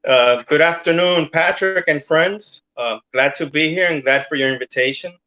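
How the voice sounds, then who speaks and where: A man's voice: a little high, moderate pace, pushed and bright, dull audio. A middle-aged man from Cuba, a guest in a casual interview, talking hesitantly with many fillers.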